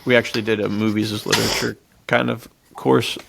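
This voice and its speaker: A man's voice: fairly low pitch, flat delivery, a little fast, gravelly, background noise. A man co-hosting a podcast, discussing films in an informal, laid-back, slangy way. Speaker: podcast co-host. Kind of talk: casual film discussion.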